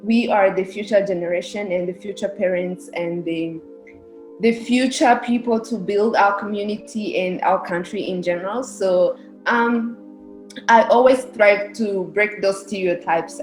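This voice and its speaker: A young woman from Rwanda, a guest on a podcast, answering earnestly and explaining her views at length. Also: mid-range voice. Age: young adult. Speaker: podcast guest, research specialist. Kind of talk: casual interview answers. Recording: echoey room.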